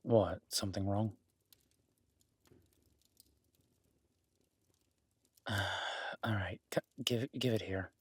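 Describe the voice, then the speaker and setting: A man playing a gruff, skeptical but caring cabin dweller in an intimate audio roleplay, blunt and sweary yet grudgingly reassuring. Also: lowish voice, unhurried, a little hiss or hum, natural voice. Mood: grudging patience. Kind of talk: immersive audio roleplay, intimate naturalistic acting.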